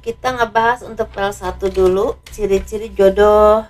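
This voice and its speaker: A slightly hoarse woman doing a casual tarot reading, speaking softly and letting her phrases trail off. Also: mid-range voice, steady tone, moderate pace. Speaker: tarot reader.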